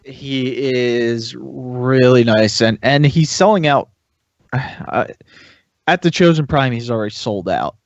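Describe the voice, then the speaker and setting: A man's voice: mid-range voice, takes it slowly, no room sound. A man chatting casually as a podcast panelist reviewing toys, hesitant and thinking aloud as he goes. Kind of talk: casual chat. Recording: slightly dull, lo-fi sound.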